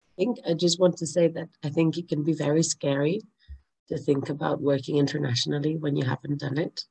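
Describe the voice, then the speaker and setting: A woman speaking on an online panel with a croaky voice, in a reassuring, thoughtful way. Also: low voice, flat delivery, fairly quick, darkish tone, rough recording.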